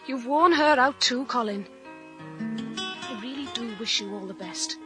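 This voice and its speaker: Music plays under a worn-down, hurt British wife in a radio drama. Her voice is a little high, plaintive yet resolute, as if reading a letter aloud. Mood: sad, final. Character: weary, wounded wife leaving her jailed criminal husband. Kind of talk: letter read as dramatic monologue.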